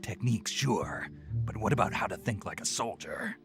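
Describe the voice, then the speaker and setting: An actor playing a gruff, sardonic male soldier on a covert mission in a video game, with a gravelly voice. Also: fairly low pitch, lively intonation, normal speed. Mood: wounded, subdued. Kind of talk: dramatic video game voice acting. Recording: music behind.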